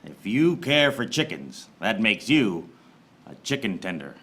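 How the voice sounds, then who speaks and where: A man's voice: lowish voice, unhurried, slightly rough, full character voice. A man playing a wry, weary hard-boiled detective, narrating a film noir radio comedy on stage. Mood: deadpan. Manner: deadpan wordplay, theatrical. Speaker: lead actor in live comedy radio serial. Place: small theater stage, live audience. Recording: noisy live stage recording with clicks.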